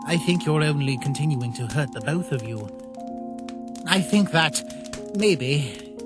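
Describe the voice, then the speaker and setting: A man voicing a naive, caring younger-brother skeleton in a comic dub, speaking earnestly and haltingly in a soft plea. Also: on the high side. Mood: gently pleading. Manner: earnest, halting, softly pleading. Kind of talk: dramatic comic dub acting. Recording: voice over background music.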